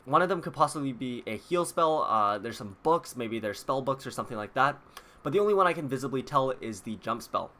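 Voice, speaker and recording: A man's voice: mid-range voice, lively intonation, brisk, bright, forceful tone. A man hosting a video, explaining details to viewers in a casual, chatty way. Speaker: video host. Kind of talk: casual scripted video commentary.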